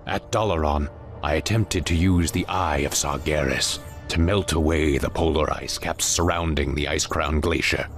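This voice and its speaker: An actor playing a commanding exiled demon lord in a fantasy game, brooding and portentous. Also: male voice, a bass voice, moderate pace, faint music behind. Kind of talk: dramatic fantasy game cutscene acting.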